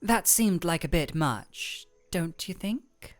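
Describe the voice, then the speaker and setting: Young ice royal played by a woman, fantasy audio drama. Slightly low, widely inflected voice; cool, measured, formal delivery with deadpan wit. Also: soft background music. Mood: cool, questioning. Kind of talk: scripted fantasy audio roleplay.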